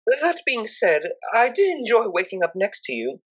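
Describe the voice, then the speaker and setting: A woman puts on a proper young mare cellist from a pony story, pitched up from plain narration. She is reserved and polite, choosing formal words with prim care. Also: sing-song, moderate pace, breathy edge. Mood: shy, tentative.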